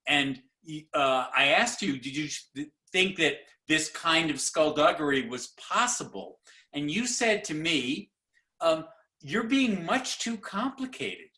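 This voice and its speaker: A man moderates a panel over a video call. He speaks slowly, with a bright, forceful edge, and keeps stopping to restart his sentences. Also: fairly high pitch, animated. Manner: halting, many fillers and restarts.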